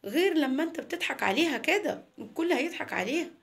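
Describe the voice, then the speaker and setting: A quick, high-voiced storybook mother, played by a woman telling the tale. She is exasperated and brisk, scolding and cajoling her child. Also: expressive.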